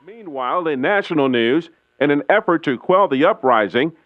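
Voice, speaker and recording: A man's voice: on the high side, animated, no echo, natural voice. Man acting as a dull-sounding 1960s radio newsman. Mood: grave, formal. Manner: formal, measured newsreader delivery. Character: 1960s radio news announcer reading urgent national bulletins. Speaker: radio announcer. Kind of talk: 1960s radio news bulletin, scripted.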